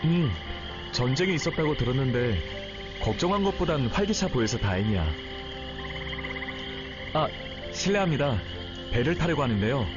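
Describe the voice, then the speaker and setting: A man voicing a gentle, polite young traveling guardian who explains things calmly in a Korean game story. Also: mid-range voice, moderate pace, pauses often, lightly acted. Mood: polite, relieved. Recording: dull sound under music.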